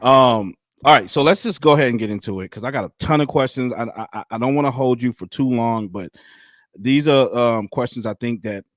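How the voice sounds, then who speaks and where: A man's voice: medium pitch, a little fast, no echo, studio quality. A man in his thirties hosting a casual live-stream interview, chatty and loose, laughing easily. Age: 30s. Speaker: livestream host.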